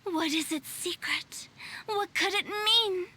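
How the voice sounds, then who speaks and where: A woman's voice: extremely high pitch, slow, pushed and bright, exaggerated voice. A woman voicing a playful, teasing girl with a taste for the spooky, theatrical in a video game style. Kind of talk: video game character acting.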